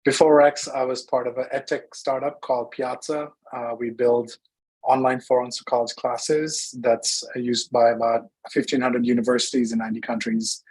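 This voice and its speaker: Husky Sri man, brisk. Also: medium pitch, steady tone, a little mellow, rough recording. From Sri Lanka. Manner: brisk, organized, résumé-like delivery. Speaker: founding partner and chief product officer, judge. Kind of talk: self-introduction at an online pitch event.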